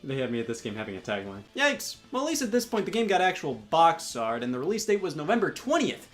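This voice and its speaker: A man's voice: on the high side, huge pitch swings, quick pace. A man delivering scripted comedic sketch commentary in an exasperated, sarcastic way. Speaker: comedy video host.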